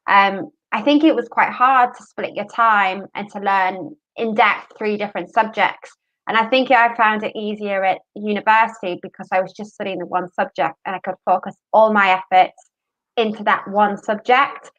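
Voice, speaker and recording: A woman's voice: mid-range voice, steady tone, normal speed, a bit bright, highs cut off. A woman hosting a university panel discussion, friendly and easygoing, with plenty of fillers.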